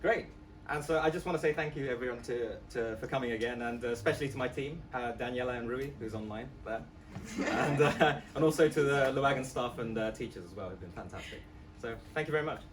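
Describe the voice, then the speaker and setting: A man presents his app in a relaxed, chatty way, filling pauses with plenty of ums and uhs. His voice sits at a middle pitch. He is heard from some distance off the mic. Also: noisy background. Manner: casual, chatty, with many fillers. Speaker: student presenter. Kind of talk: live app demo walkthrough. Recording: voice from far off the mic.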